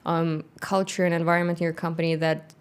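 Woman, non-native English accent, middle-aged, interview guest, low even voice, thoughtful pauses. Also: clean tone. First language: not English; grew up in Kazakhstan, speaks Russian. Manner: thoughtful, frequent "um" pauses, light laughter. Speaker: guest, founder of a workwear boot company. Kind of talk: casual interview conversation.

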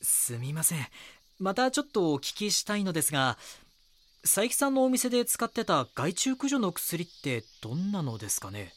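A man's voice: high voice, big pitch swings, moderate pace, a bit bright. An actor playing a young, earnest magazine reporter in an audio drama, politely probing with his questions. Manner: polite, deferential when questioning.

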